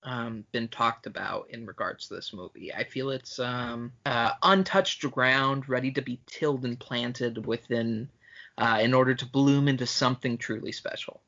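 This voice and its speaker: Bright, forceful man on a podcast. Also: medium pitch, normal speed, highs cut off. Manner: opinionated, sweary, mock-grandiose at times. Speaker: podcast co-host. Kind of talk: casual film-fan banter and ranting.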